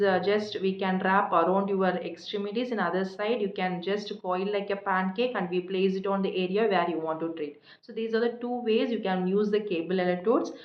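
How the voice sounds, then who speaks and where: A woman lecturer with an Indian accent gives an explanatory technical lecture in a halting, hesitant way, repeating and rephrasing as she goes. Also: medium pitch. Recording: echoey room, dull muffled sound.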